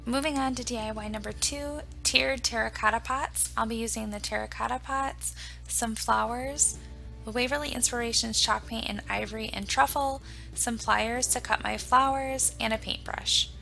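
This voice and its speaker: A woman hosting her own home decor channel, her voice raspy from a recent illness, walking viewers through each craft step in a chatty, plain way. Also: a little high, fairly even intonation, normal speed, sharp tone, faint music behind, rough recording. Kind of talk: casual step-by-step craft tutorial.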